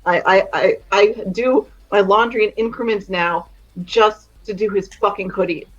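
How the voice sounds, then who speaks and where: A woman, a mother, chatting casually in a group stream, blunt and quick to swear. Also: medium pitch, lo-fi sound. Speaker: parent.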